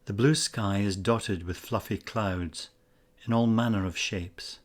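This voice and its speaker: A man narrating a scripted guided meditation in a measured, instructional way with pauses between phrases. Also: lowish voice, steady tone.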